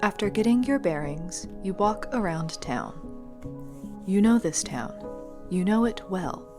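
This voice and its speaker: A woman playing a calm, mysterious narrator in an audio drama, speaking to the listener in a hushed, deliberate way. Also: mid-range voice, close to an everyday voice. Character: calm, mysterious second-person narrator guiding a wanderer. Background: music.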